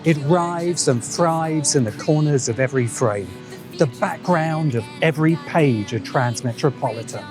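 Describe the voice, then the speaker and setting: A man narrating a scripted video essay in an emphatic, rhetorical way, with dramatic pauses. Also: a little high, animated. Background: background music.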